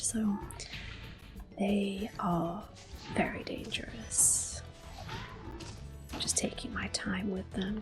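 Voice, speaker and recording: A woman in soft, unhurried whispers, commenting on her gameplay as an ASMR gamer. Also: mid-range voice, poor audio. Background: soft game music and controller clicks.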